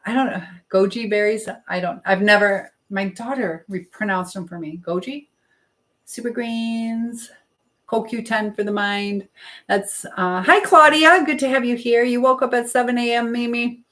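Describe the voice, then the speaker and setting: A woman's voice: a little high, steady tone, moderate pace, fairly bright tone. A woman hosting a casual live chat in a chatty way, greeting viewers and reading out comments. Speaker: livestream host. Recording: roomy, echoey indoor sound.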